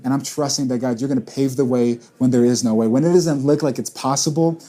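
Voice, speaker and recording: A man's voice: mid-range voice, fairly even intonation, quick pace, warm tone. A man in his late twenties, a church planter and pastor, talking earnestly in a casual panel chat and repeating words as he thinks. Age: 20s.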